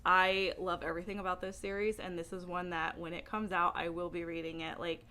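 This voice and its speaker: A woman talking casually to camera as a book vlogger, with an animated, emphatic way of speaking. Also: fairly low pitch, fairly quick.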